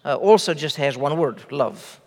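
A man whose first language is Afrikaans, preaching a sermon in measured, emphatic phrases with rhetorical questions. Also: on the high side, lively intonation, in a large hall. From South Africa. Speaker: pastor.

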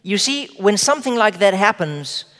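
A man whose first language is Afrikaans, preaching a sermon in measured, emphatic phrases with rhetorical questions. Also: high voice, big pitch swings, fairly bright tone, long reverb tail. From South Africa. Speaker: pastor.

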